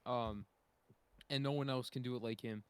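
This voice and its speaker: A man chatting casually as a podcast panelist, slangy and excitable, often doubling back on his words. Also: medium pitch, normal speed, smooth, no room sound. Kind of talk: casual chat.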